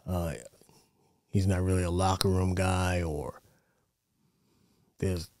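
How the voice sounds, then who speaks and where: A middle-aged American man giving casual sports commentary in a measured, conversational way, close on a studio mic. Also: deep voice, level tone, a little mellow, no echo. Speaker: sports show host. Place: small home studio, indoors. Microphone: close broadcast mic on boom arm.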